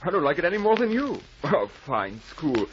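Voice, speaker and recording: An actor playing a defiant German schoolboy newcomer in an old radio drama, with stiff, formal, indignant phrasing. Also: male voice, on the high side, sing-song, moderate pace. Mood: sullen, defiant. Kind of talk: 1940s radio acting. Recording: muffled, noisy old radio recording.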